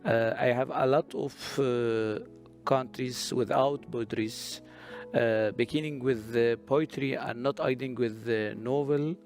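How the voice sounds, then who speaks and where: A middle-aged man from Syria, a Kurdish and Arabic native speaker, talking as a poet at a literary festival with many drawn-out "uh" pauses and then reciting poetry. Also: medium pitch, steady tone, darkish tone, faint music behind. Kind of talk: hesitant prepared talk, then poetry recital.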